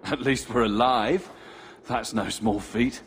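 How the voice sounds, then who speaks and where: A man's voice: medium pitch, steady tone, takes it slowly, background noise. An actor playing a dry-humored male fighter who stays wry and level-headed.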